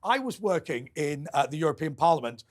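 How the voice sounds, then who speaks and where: Lively high-voiced male host. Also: a little fast. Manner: animated anecdote-telling, laughs often. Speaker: quiz show host. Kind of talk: panel chat. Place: television studio.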